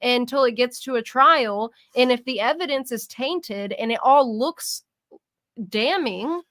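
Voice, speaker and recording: Lady cohost on a stream, higher voice, earnest and argumentative, dry close mic. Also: expressive, measured pace, fairly bright tone, dry recording. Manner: earnest, argues a point at length. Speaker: podcast co-host. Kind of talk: casual livestream chat.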